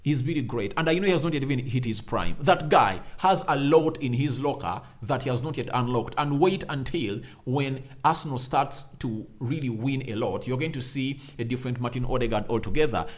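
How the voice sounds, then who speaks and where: A man hosting a live football reaction show, reading out viewer comments and giving his views in an emphatic, repetitive way. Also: mid-range voice. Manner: emphatic, repeats phrases for effect.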